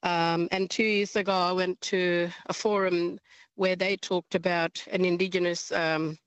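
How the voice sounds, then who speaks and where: Halting, low-voiced woman. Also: flat delivery, clear, resonant tone, no room sound, lo-fi sound. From northern Australia. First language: a local clan language. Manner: halting, many ums, thoughtful. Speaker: guest, language teacher. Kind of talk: radio interview.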